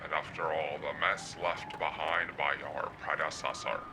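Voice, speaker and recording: A man playing a slick, theatrical late-night host in a cyberpunk audio drama, showy and wry with drawn-out delivery. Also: very deep voice, level tone, noisy background. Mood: wry, smooth. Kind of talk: showy talk show monologue. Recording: music under the voice.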